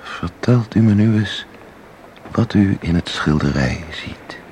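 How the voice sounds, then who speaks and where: An actor in a radio play playing a commanding guide who speaks slowly and deliberately, hypnotically luring someone on with evocative instructions. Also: male voice, low-pitched, animated, darkish tone, slight background noise. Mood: calm, hypnotic.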